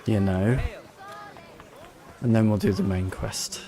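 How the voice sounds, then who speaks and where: A man's voice: on the low side, slow pace. A man giving casual playthrough commentary as a game streamer, muttering as he thinks aloud.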